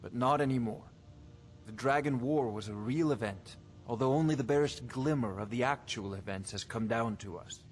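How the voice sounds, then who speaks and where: A man's voice: mid-range voice, normal speed, a little hiss or hum, close to an everyday voice. Man playing a pompous scholarly court wizard, faint ambience. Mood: smug, lecturing. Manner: pompous, condescending, wordy and precise. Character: haughty, scholarly male court wizard obsessed with dragon research. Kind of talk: fantasy video game voice acting.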